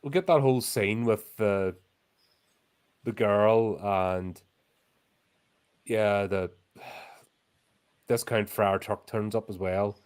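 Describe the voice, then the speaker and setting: A man's voice: on the low side, very slow, long pauses, a little mellow, clear, resonant tone. A man, co-hosting a podcast, with wry, jokey banter in casual film chat. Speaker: podcast co-host.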